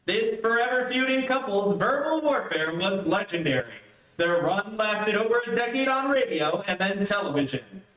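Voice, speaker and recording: A man's voice: high voice, animated, normal speed, pushed and bright. A man reading scripted old-time radio narration as announcer, with a dramatic delivery. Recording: echoey, distant streamed audio with music.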